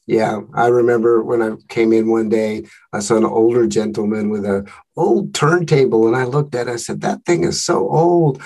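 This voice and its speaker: Husky-voiced male podcast host. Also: on the low side, dark tone, poor audio. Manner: measured, reads from a script. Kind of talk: scripted podcast intro and interview questions.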